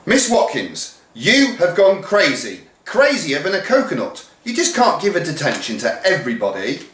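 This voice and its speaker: A man's voice: on the high side, huge pitch swings, normal speed, fairly bright tone, slight background noise, pitched above the performer's own voice. A man voicing an exasperated woman school secretary in a storybook reading, prim and reproachful. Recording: roomy echo.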